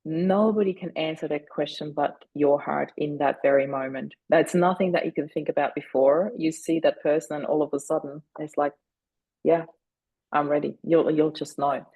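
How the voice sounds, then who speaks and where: Woman, gravelly, earnest storytelling. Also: low-pitched, fairly even intonation, mellow, dry recording, poor audio. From Austria. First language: German. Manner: earnest and deliberate, slowing down on key moments. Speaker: podcast guest. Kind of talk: reflective storytelling.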